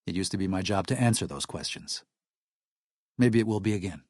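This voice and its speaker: A man's voice: low voice, animated, fairly quick, darkish tone, no room sound, studio quality. An actor playing a devout, weary missionary man in a video game, speaking with earnest gravity. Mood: earnest, reverent. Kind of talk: video game character dialogue.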